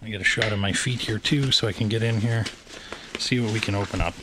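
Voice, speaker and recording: A man's voice: fairly low pitch, steady tone, fast, very noisy. A Canadian man talking casually and hesitantly, with many fillers, while unpacking and reviewing camping gear indoors on a handheld camera. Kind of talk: casual unboxing commentary. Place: indoors, room with wooden floor. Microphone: handheld camera pointed down.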